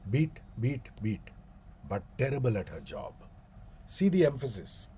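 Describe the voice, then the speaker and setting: Slow, booming man with a deep, mellow tone. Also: sing-song, slight background noise. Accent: Indian English. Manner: slow, deliberate delivery with long pauses and repeated demonstrations. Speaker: guest speaker. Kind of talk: public speaking workshop talk.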